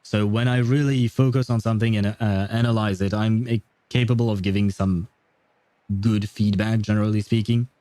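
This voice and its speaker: A man's voice: fairly low pitch, fairly even intonation, moderate pace, warm tone, no echo. A man hosting a casual gaming livestream, chatting with viewers in a rambling, hesitant way. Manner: hesitant, rambling, chuckling often. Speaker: livestream host.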